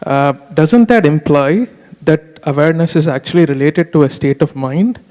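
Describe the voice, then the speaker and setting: Higher-voiced man questioning in echoey hall. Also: normal speed, dark tone. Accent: Boston. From Boston, United States. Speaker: audience member asking a question. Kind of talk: spoken question at a retreat. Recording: echoey hall with long reverb.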